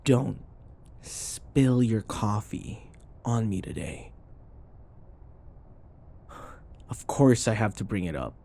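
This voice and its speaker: A man's voice: lowish voice, animated, slow pace, vocal fry, dark tone, exaggerated voice. A man playing a sarcastic, irritable office rival who taunts and scoffs, in a scripted boyfriend audio roleplay. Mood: irritated. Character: snarky, irritable male office rival, teasing and combative.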